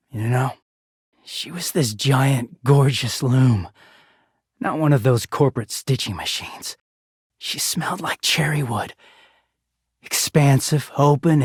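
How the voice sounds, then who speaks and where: A man voicing a wounded, conflicted living garment in a video game, husky and emotional, confiding with some swearing. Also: mid-range voice, no echo, studio quality.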